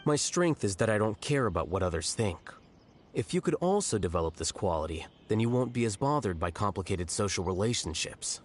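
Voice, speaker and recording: Game role: a cool, blunt young scholar, lowish voice with lively pitch, deadpan delivery. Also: male voice, fairly quick. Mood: dry. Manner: calm, deadpan, matter-of-fact. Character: aloof young male scholar, blunt and self-assured. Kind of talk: video game dialogue.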